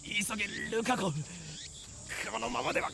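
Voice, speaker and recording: An actor playing a bossy, grandiose young mad scientist in Japanese anime visual novel style, pompous and melodramatic. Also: male voice, extremely high pitch, steady tone, fast, vocal fry, full character voice. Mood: mock agony.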